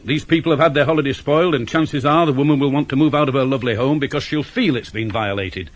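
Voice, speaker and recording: A man's voice: medium pitch, quick pace, natural voice. Seasoned male detective inspector, played by an actor, British, cynical, blunt, probing. Radio play, middle register. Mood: brooding, indignant. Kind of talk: 1980s British radio drama acting.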